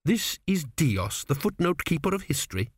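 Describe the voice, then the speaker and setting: A man voicing an ancient mummified king in an audiobook, growling, slow and scornful. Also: a little high, big pitch swings, breathy edge, no room sound, clean studio sound, voice pushed up. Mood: sarcastic. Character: ancient mummified king, bandaged, angry, sarcastic, accusing. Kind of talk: audiobook character voice.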